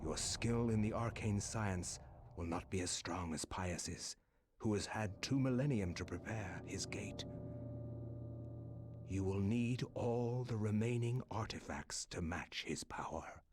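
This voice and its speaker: A man voicing an older relative reading his farewell letter in a grave, measured way. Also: on the low side, natural voice. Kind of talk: read-aloud letter narration. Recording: clear background noise.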